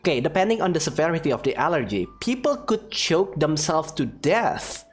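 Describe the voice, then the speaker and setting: A woman with a low voice and lively intonation comments casually on a video game. She is wry and playful, with faint music behind her. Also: moderate pace, husky. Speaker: gaming streamer. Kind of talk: casual gameplay commentary, reading some dialogue aloud.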